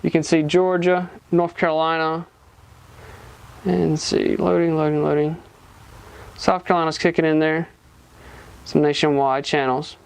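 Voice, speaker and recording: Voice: medium pitch, flat delivery, unhurried, vocal fry, long pauses, clean tone. A person giving a casual, hands-on product demonstration, explaining informally step by step. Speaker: gadget reviewer.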